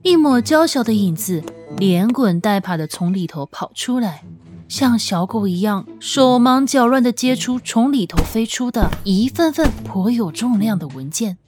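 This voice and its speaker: A woman reading scripted fiction as an audiobook narrator, with an expressive, measured, dramatic storytelling delivery. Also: on the high side. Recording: music under the voice. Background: background music.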